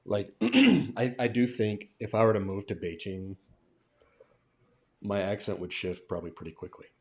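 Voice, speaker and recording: A man with an American accent and a very low voice talks through language questions on a live stream. He speaks casually, working out his thoughts as he goes and adding little checking tags. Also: lively intonation, moderate pace, a little mellow. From southern United States. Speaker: language teacher hosting a livestream.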